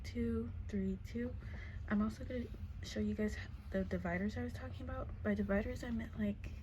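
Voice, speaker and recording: A woman teaching crochet step by step, speaking softly in a breathy, half-whispered voice as she is losing her voice, counting stitches aloud and checking in with "okay?". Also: medium pitch, slight background noise, rough recording. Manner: soft-spoken, counts stitches aloud, many fillers like "like" and "okay?". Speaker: crochet tutorial maker. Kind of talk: casual step-by-step craft tutorial.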